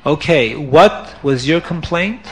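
Soft-spoken old rabbi judge, voiced by a male storyteller, measured. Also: on the high side, lively intonation. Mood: judicial. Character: calm old rabbi, gentle wise judge.

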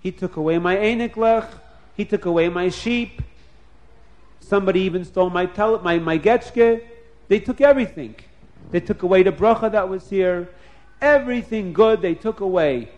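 A complaining old man who whines and groans over every loss, as a male storyteller puts him on. His voice jumps up high and loud with each oy. He sounds like a sly storybook villain who is sorry only for himself. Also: lively intonation, moderate pace. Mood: scheming. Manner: whiny, exclaiming with oys.

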